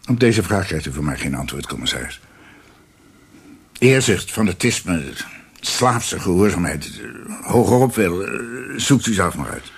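An actor playing a guilt-ridden older former judge in a radio drama, husky, halting and formal, a proud and guarded father. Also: deep voice, very animated, heavily acted. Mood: guarded, defensive.

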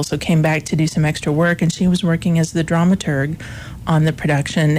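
A woman from Illinois, a theater professor, talks about her career as a radio guest. Her voice is on the low side and loud, and she often laughs between thoughts. Faint room ambience is heard behind her. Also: slight background noise. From Illinois, USA. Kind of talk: interview conversation. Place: college radio studio.

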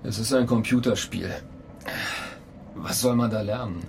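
Radio drama: stubborn astronaut trainee, low-leaning voice, grumbling and blunt, with clear noise behind him. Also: male voice, measured pace. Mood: grumpy. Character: irritable, ambitious male astronaut in training, impatient and stubborn. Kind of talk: radio play acting.

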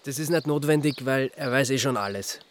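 An Austrian actor playing an evasive, exasperated amateur sleuth in a naturalistic radio drama. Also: male voice, medium pitch, brisk, slight background noise, lightly acted. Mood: dry, impatient.

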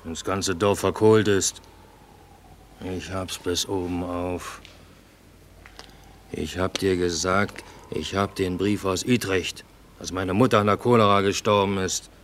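An actor playing a fed-up, hard-headed cook in a radio drama, speaking bluntly and insistently. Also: male voice, sits low, fairly even intonation, takes it slowly, long pauses, fairly bright tone, a little hiss or hum. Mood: fed up, resolute. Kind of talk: naturalistic stage-style radio drama.

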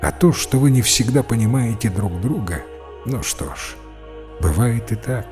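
A man voicing a kindly but gently stern priest who counsels in a calm, encouraging, preachy way. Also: medium pitch, moderate pace, soft background music, lightly acted. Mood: gentle, reassuring.

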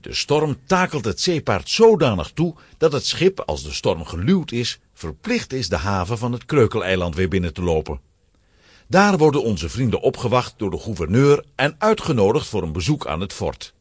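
A man's voice: on the low side, big pitch swings, moderate pace, breathy edge, dry recording, studio quality. A man narrating a children's adventure story in a dramatic, scripted storytelling style.

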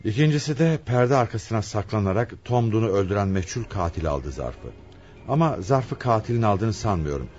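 An actor playing a shrewd, probing police inspector in a Turkish radio play, inquisitive and deliberate. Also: male voice, lowish voice, animated, darkish tone, dull audio, close to an everyday voice. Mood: analytical. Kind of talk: Turkish radio theatre acting.

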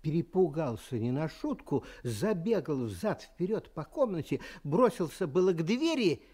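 A man's voice: fairly high pitch, big pitch swings, a little slow, mellow, husky. A man narrating a fairy tale expressively as a storyteller.

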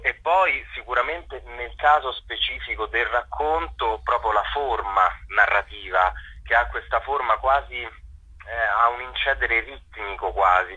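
A man giving spontaneous interview answers as a theatre director, reflective and halting, often rephrasing himself mid-sentence. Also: fairly low pitch, sharp tone, slightly rough. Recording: muffled, lo-fi radio phone-in sound.

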